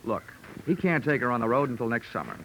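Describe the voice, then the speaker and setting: An actor playing a wry, wisecracking American insurance investigator who narrates a 1940s hardboiled radio drama. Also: male voice, medium pitch, lively intonation, fast talker, fairly bright tone, a little hiss or hum, poor audio. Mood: smooth, matter-of-fact. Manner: dry wit, wisecracking. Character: wry, tough male insurance investigator hero. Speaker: lead and narrator of detective show. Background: music.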